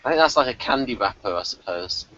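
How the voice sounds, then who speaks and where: A man's voice: mid-range voice, expressive, quick pace, pushed and bright, dull audio. A man chatting casually as he co-hosts a review, with a wry, nitpicking, hesitant manner. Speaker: podcast co-host reviewing a TV episode.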